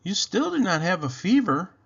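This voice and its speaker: A man voicing a caring, attentive storybook mother with a gentle, solicitous, sing-song manner. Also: high-pitched, big pitch swings, normal speed, creaky, slightly rough, dull audio, pitched above the performer's own voice, exaggerated voice. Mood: caring concern.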